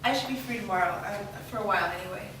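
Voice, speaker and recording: A woman's voice: mid-range voice, very fast, close to an everyday voice. A woman reading a hesitant teenage girl. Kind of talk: staged play reading. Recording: echoey room, far mic, hiss.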